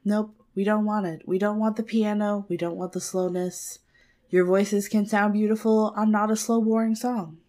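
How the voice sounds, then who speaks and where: A woman's voice: mid-range voice, fairly even intonation, normal speed, darkish tone. A woman co-hosting a podcast in casual chat, nasal-voiced, blunt and profane, often giggling. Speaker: podcast co-host. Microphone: home recording mic.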